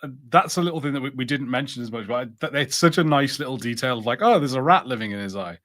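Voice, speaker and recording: A man's voice: mid-range voice, animated, fast, a bit bright. A man co-hosting a casual review podcast, musing conversationally and a little hesitantly.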